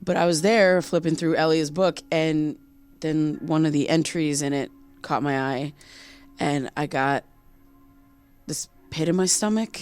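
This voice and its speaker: A low-pitched, anxious young woman in a naturalistic audio drama. She speaks haltingly and self-consciously, as if confiding something that haunts her. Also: steady tone, darkish tone, clean tone, faint music behind. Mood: uneasy, confessional. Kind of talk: naturalistic audio drama acting with confessional narration.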